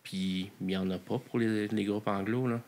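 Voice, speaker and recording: A man, a band member guest who explains his thinking with pauses and restarts. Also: lowish voice, steady tone, a little mellow. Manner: thoughtful, halting explanations. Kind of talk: casual interview answers.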